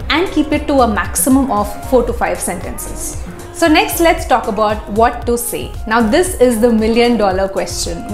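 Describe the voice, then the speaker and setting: Young woman coaching to camera, roomy office. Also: medium pitch. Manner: clear, instructive, steady. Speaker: visa interview coach. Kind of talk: advice video to camera. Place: office with glass walls.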